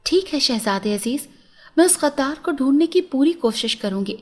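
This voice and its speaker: A woman's voice: high-pitched, lively intonation, moderate pace. A woman voicing a tender-hearted young princess in a storybook tale, anxious and earnest, often questioning. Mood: earnest, resolved.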